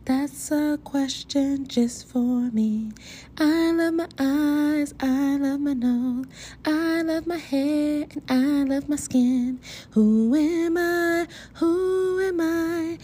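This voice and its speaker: Woman, gentle recitation for toddlers, very slow pace, lullaby music behind. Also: high-pitched. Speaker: educator. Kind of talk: poetry reading for small children. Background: soft lullaby music.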